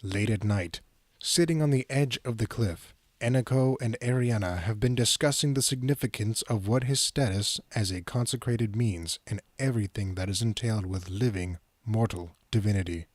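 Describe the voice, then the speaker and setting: A man's voice: lowish voice, normal speed, no room sound. A man reading scripted narration for an audio drama as its narrator.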